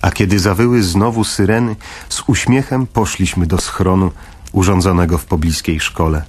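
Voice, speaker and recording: A man narrating a literary audio drama from a script, with a husky voice. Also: low-pitched, fairly even intonation, normal speed.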